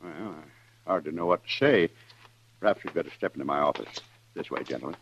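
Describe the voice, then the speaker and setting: An actor playing a fussy small-town banker who speaks in a prim, nervous, overly formal way, in 1950s radio drama style. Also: male voice, low-pitched, quick pace, a little hiss or hum. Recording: dull, dry, lo-fi old radio recording.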